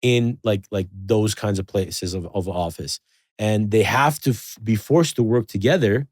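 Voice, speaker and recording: A man's voice: fairly low pitch, unhurried, no room sound, clean studio sound. A man in a casual podcast conversation, talking in a loose, rambling way with lots of fillers. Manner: rambling, filler-heavy, animated storytelling.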